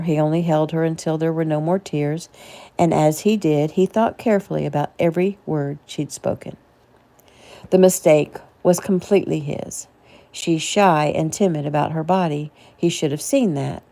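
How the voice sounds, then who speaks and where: A woman's voice: low-pitched, normal speed, dark tone. A woman reading her own novel aloud as a casual audiobook narration.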